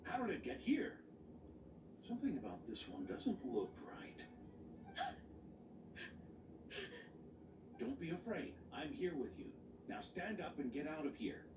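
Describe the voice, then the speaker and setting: A man's voice: a little high, sing-song, normal speed. A man voicing a kindly, protective old grandfatherly knight in a video game drama. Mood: protective, reassuring. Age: older. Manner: reassuring, gently admonishing. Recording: roomy, distant, noisy game capture.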